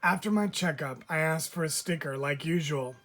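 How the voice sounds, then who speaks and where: A man, a teacher, reading a children's picture book aloud with lively, expressive storytelling. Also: on the high side, normal speed, a little hiss or hum.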